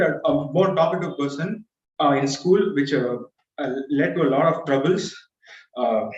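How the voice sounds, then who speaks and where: Hesitant young man on an echoey call. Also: fairly high pitch. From India. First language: Tamil. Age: young adult. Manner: hesitant, frequent uh fillers and restarts. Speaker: club member giving first speech. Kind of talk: prepared speech with many fillers. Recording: far-off echoey dull video call audio.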